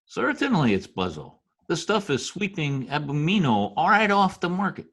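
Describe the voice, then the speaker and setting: Radio drama schemer male actor: glib, cynical politico, rough husky voice, lively intonation. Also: a little high, moderate pace, heavily acted. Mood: brisk, glib. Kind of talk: old-time radio drama acting. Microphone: video call.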